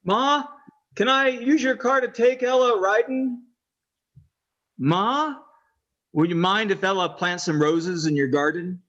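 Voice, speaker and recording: A man's voice: high-pitched, a little slow, pauses often, fairly bright tone, highs cut off. A man playing a nervous, eager young husband and mama's boy in an old-time radio drama, hesitant and boyish. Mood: meek, pleading.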